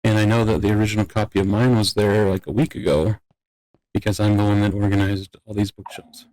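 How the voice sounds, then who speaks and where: A man's voice: fairly low pitch, little pitch movement, brisk, darkish tone, natural voice, rough recording. A man playing a casual, wryly teasing young courtier with a hesitant delivery in an improvised Minecraft roleplay. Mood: matter-of-fact.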